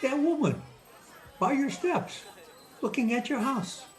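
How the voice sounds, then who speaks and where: Woman acting friendly curious young lady pal, slightly high voice, radio play, music behind. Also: animated, slow pace. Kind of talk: old-time radio drama acting. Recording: home video-call audio, music behind.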